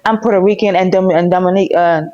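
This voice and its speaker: An American woman co-hosting a podcast, chatting casually with strong opinions in an animated, slangy way full of rhetorical questions. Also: fairly low pitch, fast talker. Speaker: podcast co-host. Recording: dull, lo-fi podcast audio.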